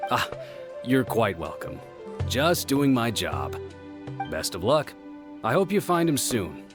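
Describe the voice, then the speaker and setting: A man's voice: mid-range voice, sing-song, takes it slowly. A man voicing a polite, dutiful village guard in a video game, courteous and thoughtful. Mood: obliging. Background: loud music.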